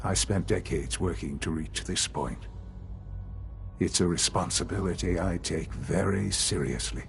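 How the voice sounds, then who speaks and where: Game role: aged male science director, low-pitched, calm, formal and grave, music underneath. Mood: earnest, persuasive. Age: older. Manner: measured, formal, gravely earnest. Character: elderly calm male leader of secretive science institute. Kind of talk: video game dialogue acting.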